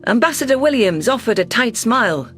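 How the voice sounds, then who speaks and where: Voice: mid-range voice, sing-song, fairly quick, a bit bright, faint music behind. A narrator reading first-person science fiction in a scripted, dramatic storytelling style. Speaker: narrator of sci-fi audio stories.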